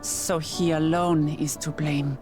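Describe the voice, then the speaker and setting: A woman's voice: deep voice, little pitch movement, slow, over music. A woman voicing a frank, open-hearted young warrior princess in a fantasy video game, direct and earnest. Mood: earnest, resolute.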